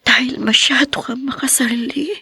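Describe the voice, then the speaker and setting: A woman's voice: high-pitched, steady tone, normal speed, breathy edge, no room sound, exaggerated voice. An actress playing a young woman named Danny who cries and whispers, mourning her late mother in a hospital room. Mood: grieving. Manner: soft tearful whispering. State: crying. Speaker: lead character. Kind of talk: dubbed TV drama acting.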